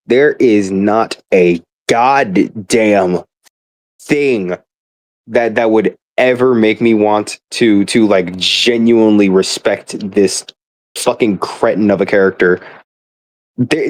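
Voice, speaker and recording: A man casually ranting about gaming in a rambling, emphatic, exasperated way, swearing often. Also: on the low side. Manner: rambling, profane.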